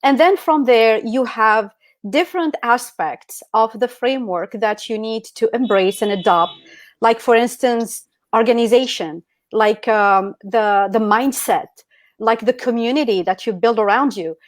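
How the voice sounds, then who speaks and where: A woman's voice: fairly high pitch, measured pace, dry recording. A middle-aged woman from Algeria speaking as a guest presenter in a motivational presentation. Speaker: guest speaker at an online e-commerce summit. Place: indoors on an online video call.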